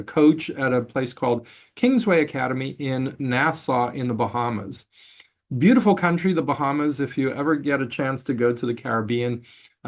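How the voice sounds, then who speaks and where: A middle-aged man, originally from Brazil, lectures on company valuation in an online class. His pitch sits in an ordinary middle range. He talks haltingly and often restarts his sentences. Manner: halting, many fillers and restarts. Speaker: finance professor.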